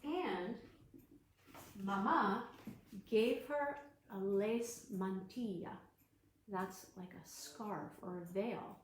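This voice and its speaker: A woman librarian reading a storybook aloud to children on a livestream, gentle and encouraging. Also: mid-range voice, very slow, pauses often, a little hiss or hum. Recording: echoey room.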